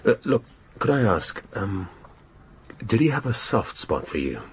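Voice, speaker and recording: Bumbling older police inspector, really low voice, hesitant and polite, radio drama, bit of noise. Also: male voice, big pitch swings. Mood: awkward, hesitant. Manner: hesitant, halting, awkwardly polite. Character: bumbling, melancholy middle-aged male police chief inspector.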